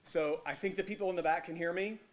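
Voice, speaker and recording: Loud informal man lecturing in a hall. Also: high voice, steady tone, fast. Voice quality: loud, projecting voice. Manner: informal, interactive, swings between loud emphasis and quiet asides. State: has a cold. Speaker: guest lecturer, medical researcher.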